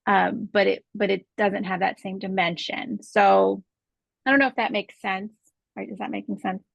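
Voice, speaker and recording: A woman's voice: mid-range voice, fairly even intonation, normal speed, vocal fry, no echo. A woman guest on a podcast, explaining things patiently in a casual conversation.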